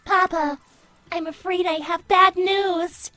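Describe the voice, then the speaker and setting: A woman's voice: extremely high pitch, fairly even intonation, slow, bright, forceful tone, faint music behind, full character voice. A woman voicing a dutiful young artificial-intelligence daughter in a cartoon roleplay, polite, worried and hesitant.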